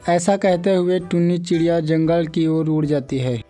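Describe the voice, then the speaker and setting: A man's voice: a little high, steady tone, moderate pace, warm tone, faint music behind. A man narrating a scripted children's moral story as a storyteller.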